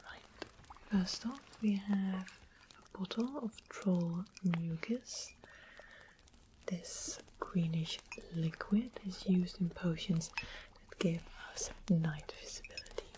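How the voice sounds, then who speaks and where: A woman playing a sweet-mannered witch in a medieval fantasy ASMR roleplay, whispering softly and explaining things in an unhurried, gently wry way. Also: fairly low pitch, rough recording. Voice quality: soft breathy whisper.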